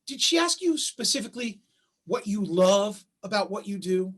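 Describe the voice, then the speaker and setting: A man playing a bitter, sarcastic husband in a staged play reading, with a husky voice, recorded over a video call. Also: sits high, big pitch swings, moderate pace, fairly bright tone, full character voice. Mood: needling. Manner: sarcastic, needling. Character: bitter, sarcastic husband caring for a sick child.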